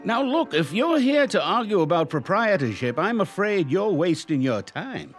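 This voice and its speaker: A man's voice: high-pitched, big pitch swings, moderate pace. An actor playing an aging, crafty and haughty male lawyer in a radio drama, with pompous, theatrical phrasing. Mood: haughty, indignant. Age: older. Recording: music bed behind the voice.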